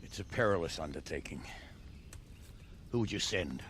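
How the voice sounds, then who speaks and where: An actor voicing a sceptical medieval lord in a video game, grave and deliberate. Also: male voice, sits low, expressive, a bit bright, slight background noise. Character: sceptical, weighty medieval lord giving counsel. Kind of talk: video game cutscene acting.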